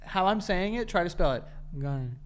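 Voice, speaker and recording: A man's voice: high voice, expressive, normal speed, slight background noise. A man co-hosting a podcast, reading out facts and joking in casual chat. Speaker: podcast co-host.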